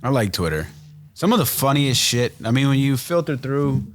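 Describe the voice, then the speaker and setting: A man hosting a casual podcast interview, animated and slangy, swearing and saying "yo" a lot. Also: medium pitch, moderate pace, faint music behind. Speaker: podcast host.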